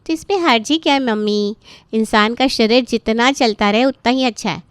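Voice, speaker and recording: A woman's voice: a little high, normal speed, clean tone, no room sound, voice pushed up, lightly acted. A woman voicing a chirpy, cheeky grown-up daughter in a story, with a breezy, persuasive manner. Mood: breezy persuasion. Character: chirpy, cheeky adult daughter and sister-in-law.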